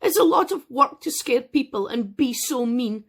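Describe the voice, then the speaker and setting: Woman doing a storybook dragon, male, young, sad, whiny, high, plaintive. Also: animated, moderate pace.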